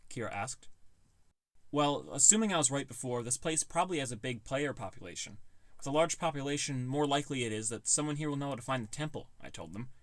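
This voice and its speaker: A man's voice: mid-range voice, lively intonation, fast talker, no room sound, rough recording. A man reading scripted first-person narration for a fantasy audiobook.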